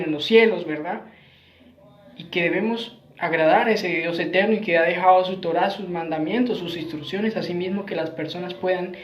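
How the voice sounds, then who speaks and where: Man preaching with frequent tag questions. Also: sits high. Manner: earnest, repetitive phrasing, frequent tag questions. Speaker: Messianic preacher. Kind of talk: Bible teaching sermon. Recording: roomy, dull lo-fi sound.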